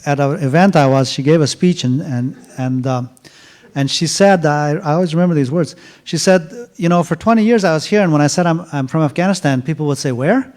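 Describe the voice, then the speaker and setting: Man, middle-aged, slightly high voice, rambling, lots of ums and restarts. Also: a little mellow. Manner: rambling, halting, with many fillers and restarts. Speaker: author speaking at a university event. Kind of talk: casual storytelling talk. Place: university lecture event.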